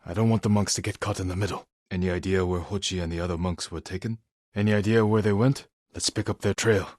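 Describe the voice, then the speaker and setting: A husky, fairly low male voice, acted by a man as a stoic samurai in a dramatic video game. He speaks in terse, measured phrases with grave determination. The sound is close and dry. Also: a little mellow, dry recording, natural voice. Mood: concerned, resolute.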